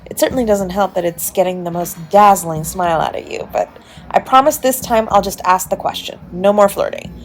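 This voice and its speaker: A woman's voice: medium pitch, brisk, a little hiss or hum, close to an everyday voice, rough recording. A woman playing a charming, flirtatious stranger at a party, chatty, coy and teasing, in an intimate romantic audio roleplay. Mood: playful, flirty. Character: charming, playfully flirtatious adult party guest, smooth-talking stranger. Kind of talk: romantic audio roleplay, intimate one-sided dialogue to the listener. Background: music behind the voice.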